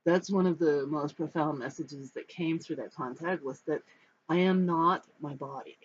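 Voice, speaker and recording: An American in their fifties from Los Angeles, giving a personal talk to camera in a reflective, halting, rambling manner. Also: mid-range voice. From Los Angeles, United States. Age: 50s. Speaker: spiritual storyteller. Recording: dull, muffled sound with background noise.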